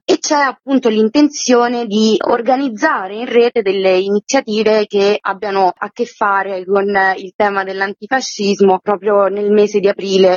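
A woman giving articulate, measured, formally worded explanations as an interviewed organiser. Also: medium pitch, no room sound. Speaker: festival organiser being interviewed. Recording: dry but muffled lo-fi audio.

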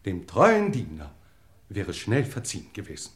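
An actor playing a haughty, suave English lord who speaks in a formal, elaborate way in an old German crime radio play. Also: male voice, fairly low pitch, huge pitch swings, normal speed. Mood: self-justifying, composed. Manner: pompous, formal, elaborately worded.

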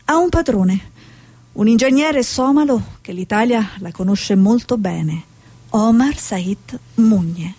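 An actress playing a haunted, searching woman journalist in a radio drama, with a breathy voice. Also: medium pitch, lively intonation, takes it slowly, dark tone, dry recording, full character voice. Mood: brooding, investigative. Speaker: actor in a radio play. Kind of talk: dramatic stage monologue and dialogue.

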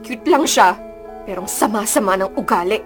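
A woman's voice: medium pitch, lively intonation, normal speed, faint music behind, natural voice. An actress playing a feisty, indignant young female student in a Filipino radio drama, with an emotional, dramatic delivery. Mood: hurt. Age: young adult.

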